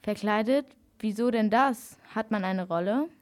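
A woman's voice: medium pitch, a little slow. A young female youth radio reporter, a schoolgirl, speaking in a scripted dialogue.

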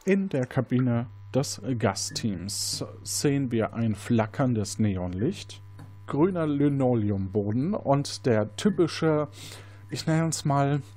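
A man's voice: mid-range voice, animated, takes it slowly, mellow. A man hosting an interactive crime game, narrating scenes as game master in a partly improvised, playful way.

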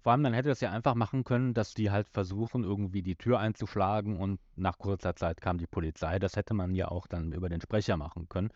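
Low, dry-sounding man chatting casually. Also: fairly even intonation, fast, few pauses, a little mellow, no echo, muffled recording. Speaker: podcast host. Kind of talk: casual discussion of an audio drama episode.

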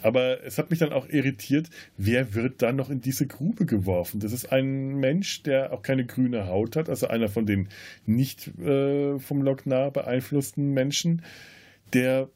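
A man podcast host in a casual film discussion, often halting as he searches for words. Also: mid-range voice, darkish tone.

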